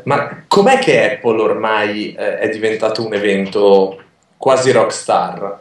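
A man from Italy interviewing a tech expert, asking brisk, curious questions. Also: medium pitch, recorded from a distance. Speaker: interviewer, newspaper editor. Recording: heavily echoey, off-mic.

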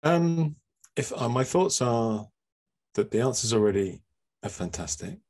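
A man's voice: medium pitch, very animated, unhurried, a little mellow. A man speaking on a video call as a panelist, with dry, self-deprecating humor. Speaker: panelist, author. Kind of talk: casual panel discussion.

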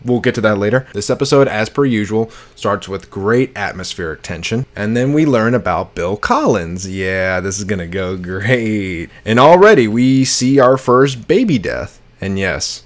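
A man's voice: lowish voice, expressive, moderate pace, few pauses. Blunt, sweary young man. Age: young adult. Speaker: video reviewer of horror series. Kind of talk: casual commentary to camera. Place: bedroom, indoors. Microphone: close desk microphone, with headphones.

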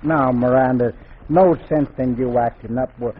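An actor playing a gruff, stubborn, folksy frontier husband in an old-time radio drama. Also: male voice, mid-range voice, moderate pace, close to an everyday voice. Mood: stubborn, irritated. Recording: muffled, tinny old radio recording.

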